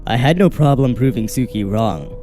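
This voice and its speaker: A man narrating a first-person horror story in a steady, measured, scripted way. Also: medium pitch. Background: faint music.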